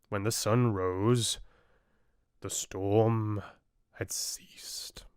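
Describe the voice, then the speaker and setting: Slow, dramatic male storyteller. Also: fairly low pitch, no room sound, studio quality. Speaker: audiobook narrator. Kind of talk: expressive scripted fairy tale narration.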